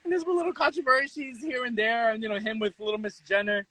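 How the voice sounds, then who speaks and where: A man speaks in a high, sharp voice, recorded close and dry. He is an interview guest telling stories casually, with slang and strong opinions. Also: fast talker, no echo, low-quality recording. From the Bronx, New York. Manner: animated storytelling, slangy, switches into Spanish. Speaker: interview guest, content creator.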